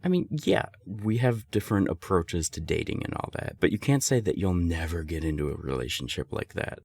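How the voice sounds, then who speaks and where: Man playing a young serial-dater friend for audio roleplay: low gravelly voice, candid, emphatic, secretly smitten. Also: darkish tone, no echo, studio quality. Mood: playful arguing. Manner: conversational, hesitant, emphatic swearing. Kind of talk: intimate audio roleplay acting.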